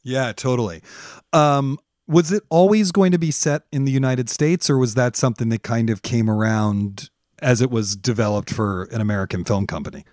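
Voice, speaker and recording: A man's voice: medium pitch, normal speed, a little mellow, dry recording. Curious male interviewer, dry sound. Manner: curious, engaged questioner. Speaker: podcast host. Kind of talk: conversational interview.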